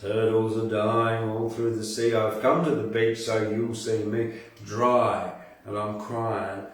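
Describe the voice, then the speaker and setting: A man's voice: on the low side, little pitch movement, slow, pitched below the performer's own voice. A man reciting as a sad sea turtle, pleading with humankind in plaintive rhyme. It is a poem performed in a very echoey space, a little away from the mic. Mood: grieving. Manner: plaintive, rhyming appeal. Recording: very echoey room, distant from mic.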